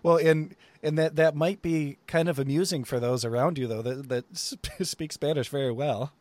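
A man's voice: on the high side, fairly even intonation, normal speed, a little mellow, no echo. A man hosting a podcast, chatting casually and joking, often laughing. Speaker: podcast host. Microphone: Skype call.

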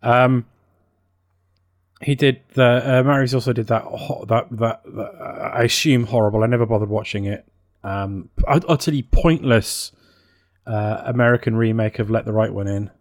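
A man talks as a podcast co-host in casual conversation, recorded very dry. He thinks aloud, often hesitant as he feels for the words, at an ordinary male pitch. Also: fairly even intonation, moderate pace, no echo. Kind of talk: casual chat about games and films.

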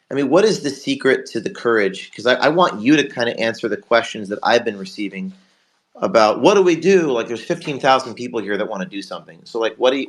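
Hoarse male talk show host. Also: lowish voice, a bit bright. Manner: rapid, rambling, promotional. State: hoarse from a cold. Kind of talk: live online talk show.

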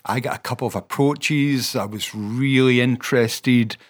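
A man's voice: mid-range voice, measured pace. A middle-aged Scottish man with a Scottish accent, interviewing in a chatty way and often joking and laughing. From Scotland. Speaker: interviewer, minister. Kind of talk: conversational interview.

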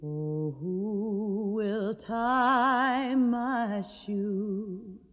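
A woman's voice: mid-range voice, very slow, soft background music. An actress playing a sad, yearning young Tennessee woman in a plaintive radio drama. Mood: mournful singing. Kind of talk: 1980s radio drama acting with plaintive folk singing. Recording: dull audio, long cavernous reverb.

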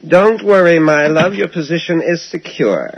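Scheming, higher-voiced dentist. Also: male voice, big pitch swings, normal speed. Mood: soothing, sinister. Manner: suave, controlled, conspiratorial. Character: smooth-talking, scheming male dentist boss. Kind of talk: 1980s radio drama acting. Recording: dull, noisy old radio recording.

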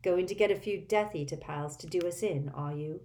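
A woman's voice: on the low side, a little fast, a little mellow, roomy sound, natural voice. A woman voicing a defiant, mocking teenage wizard boy.

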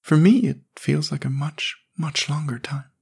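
A man voicing a sullen, lonely young boy in an audiobook, raising his voice high and childlike. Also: a little slow, creaky, a little mellow, husky, heavily acted. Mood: wistful. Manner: high childlike voice, pleading and sulky. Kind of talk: audiobook character voice.

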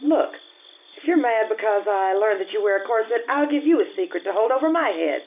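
Woman playing an earthy, animated Italian hotel cook. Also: on the high side, a little fast, phone-quality sound, close to an everyday voice. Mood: frank, friendly. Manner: blunt, teasing. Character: earthy, blunt, good-humored widowed Italian hotel cook. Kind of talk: old-time radio drama acting.

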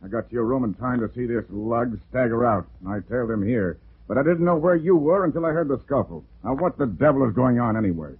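A man's voice: medium pitch, expressive, fast, hardly any pauses, close to an everyday voice. A man playing a blunt, skeptical police detective who talks in slang, in 1940s radio drama style. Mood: brisk, puzzled. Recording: noisy, tinny 1940s radio recording.

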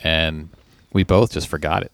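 A man's voice: very deep voice, steady tone, moderate pace, creaky. A man born in Iran who grew up speaking Farsi first, chatting casually and reflectively. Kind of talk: casual chat.

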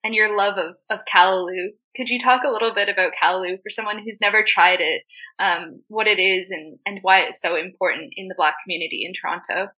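An interviewer who asks open questions and listens closely, with frequent soft "mm-hmm"s. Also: medium pitch, fairly even intonation, fairly quick, rough recording. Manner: attentive, frequent soft backchannels.

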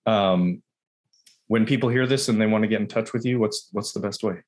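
Podcast host: man, very low voice, casual and halting, plenty of restarts. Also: a little mellow. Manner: halting, repeats words. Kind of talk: casual interview hosting.

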